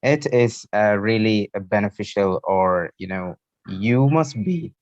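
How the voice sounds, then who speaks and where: A man's voice: on the low side, slow, darkish tone, no echo. A man with an Indian accent hosting a talk show over an online call, asking questions in polite, formal wording. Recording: muffled, lo-fi internet call.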